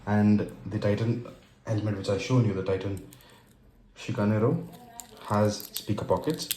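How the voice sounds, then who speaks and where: A man with an Indian accent narrating an unboxing casually and unscripted, in halting phrases broken by pauses. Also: on the low side, steady tone, dark tone. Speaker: tech and motorcycle vlogger. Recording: roomy echo with faint background noise.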